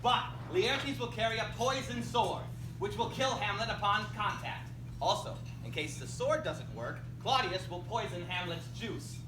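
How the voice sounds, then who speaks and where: A woman's voice: mid-range voice, animated, moderate pace. A woman narrating a comic school stage play from a script to a live audience, with wry, theatrical asides. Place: indoor live performance, distant microphone. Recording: echoey, noisy room recorded from far off.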